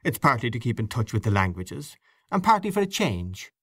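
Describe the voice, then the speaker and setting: A man voicing an awkward, defensive gentleman giving clipped, reluctant answers. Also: mid-range voice, big pitch swings, brisk, breathy edge, no echo, clean studio sound, heavily acted.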